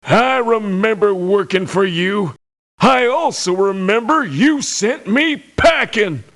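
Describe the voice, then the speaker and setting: A cantankerous old American soldier of fortune for a video game. His voice is high and slow, swooping in a folksy, scolding way at the young. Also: lively intonation, fairly bright tone, exaggerated voice. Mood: crotchety. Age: older. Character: crotchety old male veteran mercenary, scornful of youngsters. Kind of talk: video game character voice lines.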